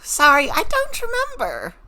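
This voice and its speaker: Lady doing a super high bubbly magician girl, swoops all over. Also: wildly swooping pitch, a little slow, heavy background noise, heavily acted. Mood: hesitant.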